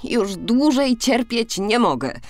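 A woman's voice: on the high side, very animated, slow pace, pitched above the performer's own voice. A woman voicing a miller's youngest son, a mistreated, determined boy, earnestly in a storybook reading. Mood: sorrowful, resolute.

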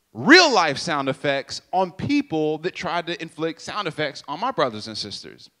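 Young man telling a lively story on stage. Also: a little high. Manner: animated, acts out sounds and voices, addresses crowd directly. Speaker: speaker at a church youth event. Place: stage in a purple-lit hall. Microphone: handheld microphone.

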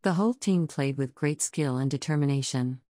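A woman with a very low, mellow voice reads short scripted sentences as test prompts. The recording is dry and close. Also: normal speed, no echo. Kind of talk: scripted example sentences read aloud.

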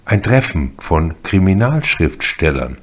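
A man's voice: sits low, sing-song, unhurried, breathy edge. A man reading scripted narration that sets the scenes of a radio play.

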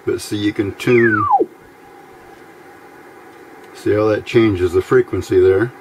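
A man giving an informal hands-on electronics tutorial in a plain-spoken, halting way, recorded at an indoor workbench. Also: lowish voice, fairly even intonation, noisy background. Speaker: electronics hobbyist demonstrating a build.

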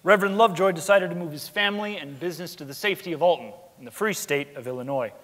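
High-voiced young man narrating onstage. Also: fast, a bit bright. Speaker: stage narrator. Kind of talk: scripted dramatic narration. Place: large theatre stage. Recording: echoing theatre stage.

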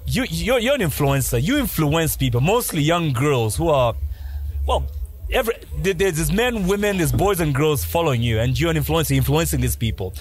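A young man hosting a podcast who asks his questions haltingly, searching for words, on a boom mic at an outdoor night set. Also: animated. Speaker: podcast host. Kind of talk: interview questions. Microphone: studio boom mic.

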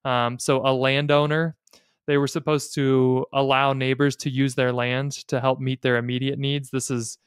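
A man's voice: mid-range voice, little pitch movement, normal speed, clean tone, no echo. Male podcast host, American, clean resonant voice, thoughtful explainer delivery, tight dry studio sound. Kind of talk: explanatory podcast talk on personal finance and faith. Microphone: close studio mic on a boom arm.

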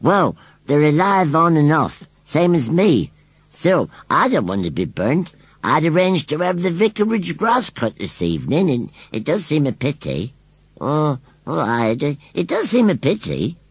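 A man voicing a shy, wheezy male scarecrow who speaks slowly and mournfully. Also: on the high side, huge pitch swings, husky, no echo, voice pushed up, exaggerated voice. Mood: forlorn.

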